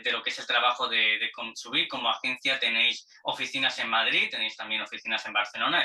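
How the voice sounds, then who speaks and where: A man with a Spanish accent from Spain interviewing in long, discursive questions, hesitant and deliberate, often repeating words. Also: medium pitch, pushed and bright, far from the mic, rough recording.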